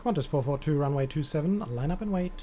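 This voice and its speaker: Mellow man issuing air traffic clearances. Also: fairly high pitch, fast talker. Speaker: live streamer playing as air traffic controller. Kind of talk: air traffic control clearances with casual commentary.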